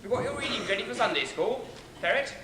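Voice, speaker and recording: A man's voice: very high-pitched, expressive, fast, a crowd in the background. A man playing a fussy, prim father in a stage pantomime, marshalling his children. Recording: echoey, noisy stage recording.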